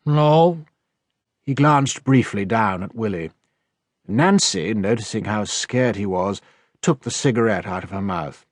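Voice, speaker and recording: A man's voice: lowish voice, a little slow, no echo, studio quality. A man with a British accent reading scripted narration from a children's novel as an audiobook narrator. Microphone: close, dry studio recording.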